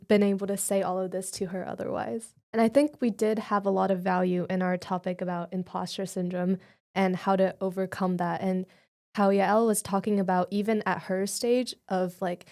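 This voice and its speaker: A woman's voice: mid-range voice, darkish tone. A teenage girl co-hosting a student podcast, chatting sincerely and reflectively. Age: teens. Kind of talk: casual podcast chat.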